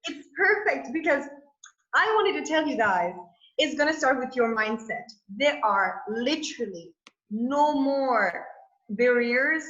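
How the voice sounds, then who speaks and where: A high-voiced woman speaks as a webinar panelist in a roomy, echoing space. Her talk is informal and motivational, animated and blunt, full of "like", and the sound is somewhat dull. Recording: echoey room, dull lo-fi sound.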